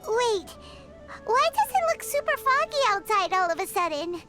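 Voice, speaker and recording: A woman voicing a small, childlike fairy companion in a video game, squeaky, blunt and curious. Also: very animated, moderate pace. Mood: baffled, alarmed. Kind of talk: cartoonish video game dialogue. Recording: loud game music behind the voice.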